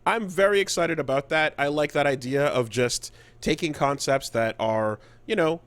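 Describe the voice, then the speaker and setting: A man co-hosting a casual podcast about video games, speaking haltingly and repeating words mid-thought. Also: on the high side, fairly bright tone. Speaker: podcast co-host.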